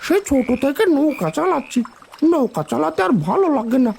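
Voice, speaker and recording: A woman voicing a chatty, weary village ferry boatman with a creaky voice and a folksy, grumbling manner in a children's cartoon. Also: sits high, lively intonation, a little fast, darkish tone.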